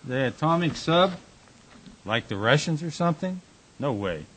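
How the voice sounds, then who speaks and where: A man playing a gruff, fed-up bar owner in a radio drama, speaking bluntly and curtly. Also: a little high, very animated, moderate pace, a bit bright, heavily acted, rough recording. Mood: dismissive. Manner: blunt, curt, commanding.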